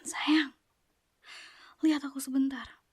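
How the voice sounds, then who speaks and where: A woman, whispering a slow, coaxing ASMR girlfriend roleplay into a close mic. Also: sits high, little pitch movement, no room sound. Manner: soft coaxing whisper, apologetic and pleading.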